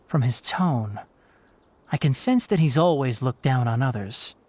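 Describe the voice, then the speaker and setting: Firm young scholar, expressive pitch. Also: male voice, no echo. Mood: concerned, reflective. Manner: measured, formal, firm. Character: young, earnest, principled scholar and caregiver, guarded. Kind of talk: video game voice acting.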